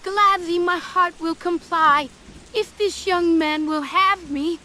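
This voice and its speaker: Very high, bright young maiden. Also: female voice, fairly even intonation, slow pace, heavily acted. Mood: rapturous. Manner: theatrical, earnest. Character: beautiful young woman, adoring, melodramatic bewitched maiden. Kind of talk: old-time horror radio play acting.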